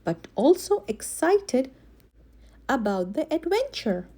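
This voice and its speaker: Animated, high-voiced woman reading to children. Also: takes it slowly. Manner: animated, dramatic emphasis. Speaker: children's story reader and channel host. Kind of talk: expressive read-aloud storytelling for kids.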